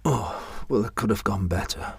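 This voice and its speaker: An actor playing a sick, weary middle-aged family man in an audio drama, speaking politely and haltingly. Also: lowish voice, no room sound, full character voice. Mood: weary, unwell. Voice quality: sick, husky, coughing voice. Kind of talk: naturalistic audio drama acting.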